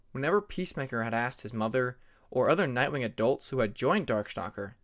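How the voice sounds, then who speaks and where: A man's voice: mid-range voice, fairly bright tone. A man narrating scripted fantasy fiction as an audiobook reader.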